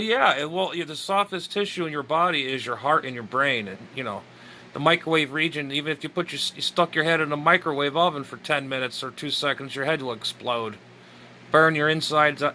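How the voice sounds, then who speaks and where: Bright-voiced grumbling man, halting and casual. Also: on the high side, a little hiss or hum. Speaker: amateur radio vlogger. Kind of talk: rambling casual reaction commentary.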